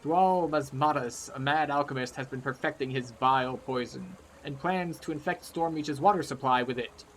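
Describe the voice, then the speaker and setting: A man's voice: fairly high pitch, fast talker, few pauses, a little hiss or hum, lightly acted, poor audio. A man voicing an urgent, dramatically pleading male quest giver from a fantasy game.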